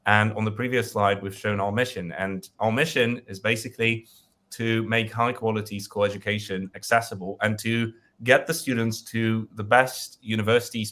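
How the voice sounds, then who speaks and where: Low-voiced man presenting a webinar. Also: fairly bright tone. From Russia. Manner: hesitant, many fillers. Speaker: company founder presenting.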